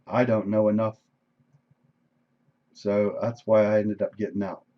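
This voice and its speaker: Hoarse male trader talking through charts. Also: on the low side, little pitch movement, measured pace, lo-fi sound. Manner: conversational, thinking aloud, frequent "right?". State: losing his voice, coughing. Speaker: day trader reviewing a live session. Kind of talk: casual screen-share trade recap. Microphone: desk microphone.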